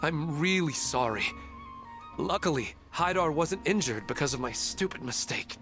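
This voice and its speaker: A man's voice: a little high, expressive, moderate pace, fairly bright tone, soft background music. A man voicing a rescued young man who talks in an apologetic, self-blaming way.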